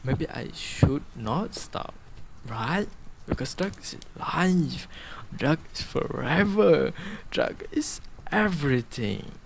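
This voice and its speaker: A man's voice: a little high, animated, slow pace, creaky, noisy background, full character voice. An actor playing a manic twenty-year-old male drug addict in an amateur radio drama, rambling and repeating himself erratically. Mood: torn, desperate.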